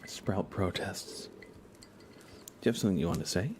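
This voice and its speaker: A young man softly murmuring on an ASMR gaming livestream in an unhurried, casual way, often close to a whisper, near the mic. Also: low-pitched, slight background noise. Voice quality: soft, hushed, often slipping into breathy whisper. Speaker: livestream host.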